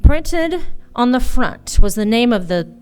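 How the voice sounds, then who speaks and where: A woman playing a jaded, principled young female detective in a noir radio drama, deliberate and sardonic. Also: on the high side, big pitch swings, darkish tone, clean tone. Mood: brooding narration. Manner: world-weary, sardonic, deliberate.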